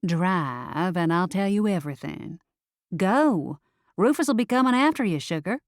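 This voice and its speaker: A woman's voice: fairly low pitch, lively intonation, unhurried, dry recording, clean studio sound. Woman voicing a sassy, bossy talking cat. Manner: folksy, blunt, bossy.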